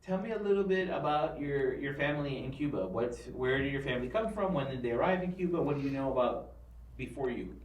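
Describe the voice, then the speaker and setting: A man's voice: medium pitch, expressive, fast talker, distant mic, highs cut off. A man interviewing a guest, asking questions with many fillers and restarts. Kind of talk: oral history interview. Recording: roomy, off-mic.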